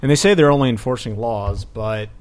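A man's voice: mid-range voice, a little fast. Man hosting a casual podcast. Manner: reads news items aloud, then riffs with hesitant fillers. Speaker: podcast host reading news.

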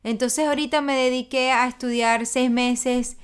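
A woman's voice: high-pitched, steady tone, moderate pace, clear, resonant tone. A woman from Venezuela with a Venezuelan accent, chatting as a guest in a casual interview, often restarting and repeating words. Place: London.

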